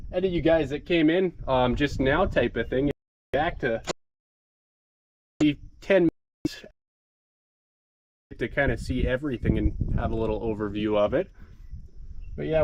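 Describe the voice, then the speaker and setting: A man's voice: mid-range voice, moderate pace, long pauses, background noise. A man from Montana with a stuffed-up nose from a cold, casually walking viewers around a combine on a live stream. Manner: relaxed rambling with fillers, greets chat viewers. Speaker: farmer livestreaming.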